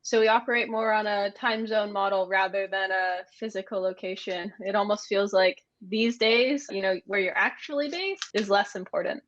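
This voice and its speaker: A woman's voice: medium pitch, moderate pace, few pauses, a bit bright, no room sound, highs cut off. A woman from the United States with an American accent, answering conversationally as an interview guest. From United States (Maine). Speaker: interview guest, agency managing director.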